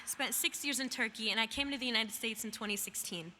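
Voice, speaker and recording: A young woman who grew up in Iraq and speaks Arabic natively, giving a measured, emphatic speech as a student speaker at a graduation. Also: fairly high pitch, sharp tone. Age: young adult. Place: large hall. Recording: reverberant hall with faint noise.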